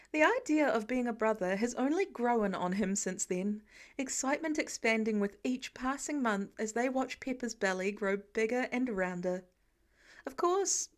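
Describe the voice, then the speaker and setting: A woman reading scripted fan fiction narration as an audiobook narrator. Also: on the high side.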